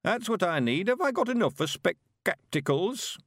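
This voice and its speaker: A man voicing a frail ninety-one-year-old rustic man in an audiobook, speaking slowly in an old country dialect, eager to go courting. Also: high-pitched, sing-song, no room sound, pitched above the performer's own voice, full character voice. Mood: anxious. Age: 90s. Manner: slow, creaky rustic dialect.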